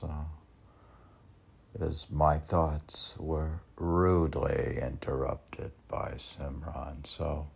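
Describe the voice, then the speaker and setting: Very deep, gravelly man, slow and halting. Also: darkish tone. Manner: halting, drawn-out delivery with trailing-off phrases. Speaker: poet and podcaster. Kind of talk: rambling podcast musing, asking and answering himself.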